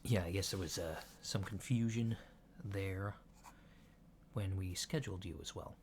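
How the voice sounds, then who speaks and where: A man playing a polite alien doctor in a soft-spoken ASMR roleplay, asking checkup questions in a hesitant, methodical, reassuring way, on a lo-fi recording. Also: low voice, a little slow. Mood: calm, reassuring. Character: polite, unhurried human-looking alien doctor giving a checkup. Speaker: ASMR performer. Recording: lo-fi with clear background noise.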